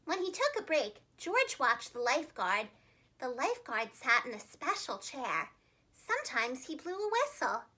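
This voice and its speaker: Female children's storyteller, preschool-teacher manner, extremely high register, lively intonation, clearly enunciated. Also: a little slow. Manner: animated, expressive, carefully enunciated.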